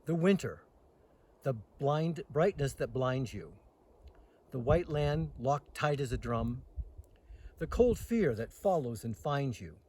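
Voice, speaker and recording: A man reading poetry aloud outdoors as host, with dramatic phrasing and lines that trail off softer. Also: mid-range voice, lively intonation, slow. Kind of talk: poetry recitation with casual introduction. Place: outdoors in the mountains.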